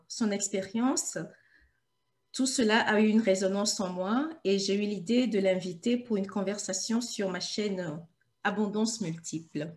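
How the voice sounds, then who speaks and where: A woman's voice: mid-range voice, a little mellow. Woman hosting her channel interview. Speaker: host of own channel.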